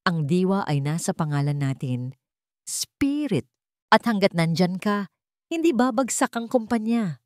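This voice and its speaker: A woman's voice: lowish voice, sing-song, measured pace, no room sound, clean studio sound. A woman voicing a wise, wealthy elderly father in a story, earnest and encouraging. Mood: solemn.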